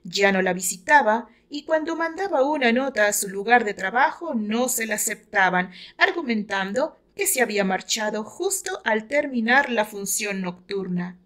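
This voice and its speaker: A woman with a Mexican accent reads a literary story aloud in a fairly high voice. She delivers it as scripted audio novel narration, the author presenting her own written prose. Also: expressive, moderate pace, few pauses, fairly bright tone.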